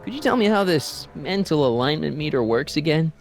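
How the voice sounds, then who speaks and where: A man's voice: on the high side, lively intonation, brisk, vocal fry, soft background music. An actor playing a skeptical, casual teenage boy who keeps asking incredulous questions in a video game. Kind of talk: animated video game dialogue.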